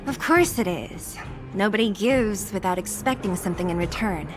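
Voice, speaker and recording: A woman's voice: medium pitch, moderate pace, close to an everyday voice. An actress playing a tough, blunt young woman fighter in an anime game, with a brusque, no-nonsense delivery. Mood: brusque, decisive. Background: game music.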